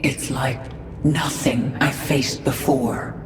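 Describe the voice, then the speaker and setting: Low, echoing alien swarm queen. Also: fairly even intonation, far from the mic, music in the background. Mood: awed, uneasy. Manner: grave, commanding, measured. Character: vengeful alien swarm queen, grim and resolute. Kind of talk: dramatic video game acting.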